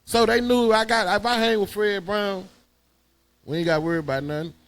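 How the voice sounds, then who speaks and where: Higher-pitched man telling stories. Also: fairly even intonation, fairly quick, a bit bright, clean tone, no room sound. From Houston, Texas. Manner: emphatic, slangy storytelling. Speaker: guest, high school alumnus. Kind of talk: casual reminiscing chat.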